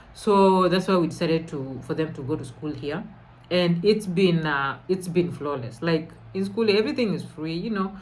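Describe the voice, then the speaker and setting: Woman from Kenya, thirties, mother, casual sit-down chat, animated, fillers, slightly low voice. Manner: animated, many fillers and restarts. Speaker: guest mother living abroad.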